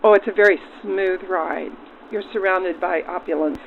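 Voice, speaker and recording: A woman's voice: fairly low pitch, fairly even intonation, moderate pace, vocal fry, phone-quality sound. A woman speaking as a train car stewardess in an interview, through a vintage radio filter. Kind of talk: interview answer. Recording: band-limited old-radio sound, crowd chatter behind.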